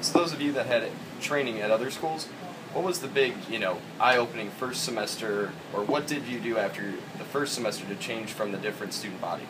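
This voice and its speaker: A man's voice: fairly low pitch, brisk. A man working as a college instructor, talking in a group discussion read aloud. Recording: distant mic in noisy room.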